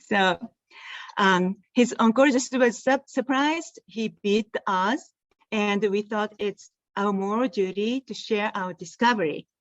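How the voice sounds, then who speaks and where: A woman's voice: medium pitch, a little slow, dry recording, dull audio. A woman from Japan with a Japanese accent, a native Japanese speaker, telling her story earnestly as an interview guest over a video call. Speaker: guest, tea company founder.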